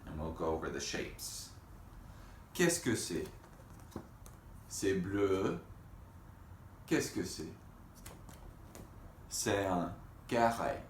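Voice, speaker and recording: A man teaching young children in a read-aloud lesson, speaking in simple, deliberate phrases and putting questions to them. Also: low-pitched, big pitch swings. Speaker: elementary school teacher. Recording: echoey room with faint background noise.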